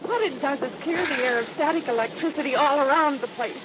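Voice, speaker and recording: A woman's voice: high voice, fast, lightly acted. An actress playing an anxious, reproachful woman in a 1950s radio drama, emotional and pleading. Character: anxious, reproachful woman devoted to the old captain. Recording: muffled, noisy old radio recording.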